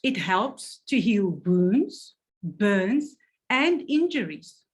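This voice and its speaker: A woman's voice: medium pitch, sing-song, drawn-out words. A woman presenting a health product, reading carefully and stumbling over technical words.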